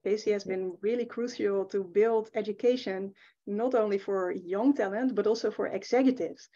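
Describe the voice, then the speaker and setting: Mellow-voiced woman host, muffled audio. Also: mid-range voice, moderate pace. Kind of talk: introducing speakers. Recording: dull, muffled call audio.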